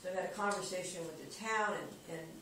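A plain-spoken official talks through a report at a board table, circling back and restarting as they think. The sound comes from a camera across a big echoey room with a steady noise underneath. The voice sits at an ordinary middle pitch. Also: brisk, recorded from a distance. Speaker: water department official giving a report. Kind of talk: casual meeting report. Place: meeting room around a conference table. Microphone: far camera.

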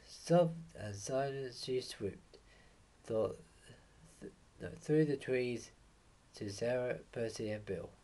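A young man reading a children's picture book aloud in a halting way, stumbling over words now and then. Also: medium pitch, mellow. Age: young adult. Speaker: bedtime story reader. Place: small room at home, indoors. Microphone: webcam or laptop mic at desk distance.

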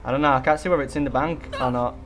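A young man hosting a casual co-op zombie game session, excitable and chatty, bursting into loud hype over his weapon. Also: medium pitch, racing, soft background music. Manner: excitable, hypes gun, swears mildly. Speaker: gaming channel host. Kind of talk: casual co-op gameplay chat.

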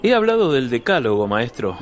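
An eager, self-assured young disciple played by a man in a radio play. His pitch moves animatedly up and down around a middle register, and his manner is vivacious and insistent. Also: very animated, a little fast, slight background noise, natural voice. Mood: eager, assertive. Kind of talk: radio drama acting.

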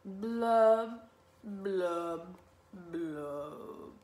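A woman's voice: on the high side, sing-song, slow, a bit bright, heavily acted. A woman voicing a gloomy, polite male fish in a children's story, resigned and singsong in rhyme. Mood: mopey singsong. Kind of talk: children's storybook read-aloud. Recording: roomy, echoey indoor sound.

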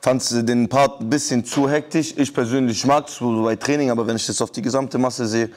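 A man on a jury giving relaxed, frank feedback on a rap performance. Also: medium pitch, brisk. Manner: relaxed, frank critique with slang. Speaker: jury member. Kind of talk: casual feedback chat.

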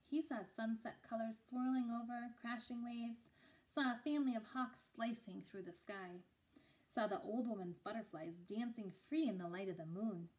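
A woman's voice: fairly high pitch, moderate pace, darkish tone, low-quality recording. Woman reading a picture book aloud. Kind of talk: read-aloud children's storytelling. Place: small room indoors.

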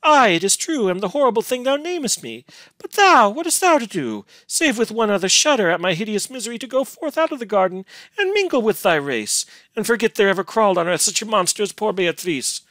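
A man voicing a gentle, lonely, devoted young woman in a gothic storybook, with archaic, plaintive, imploring speech. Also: medium pitch, big pitch swings, normal speed, no echo, voice pushed up. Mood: pained, pleading. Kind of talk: dramatic gothic storybook reading.

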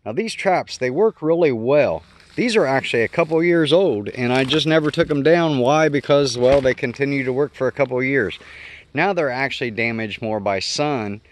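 A man's voice: mid-range voice, dry recording. Man casually explaining outdoors, dry sound. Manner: conversational, thinking aloud and self-correcting. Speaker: homestead vlogger. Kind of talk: casual unscripted explainer while walking around the yard. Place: outdoors in a garden yard.

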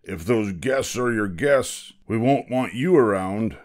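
A stern storybook moose, acted by a man, scornful and curt. The words come slowly, in a fairly low male register. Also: expressive, darkish tone. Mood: indignant. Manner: frowning, curt.

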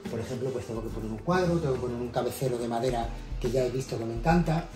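A man's voice: mid-range voice, racing. A man in his forties with an accent from Spain, casually narrating a tour of his home as a vlogger. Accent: Spanish from Spain. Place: indoor apartment rooms and balcony. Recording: echoey rooms, music underneath. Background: background music.

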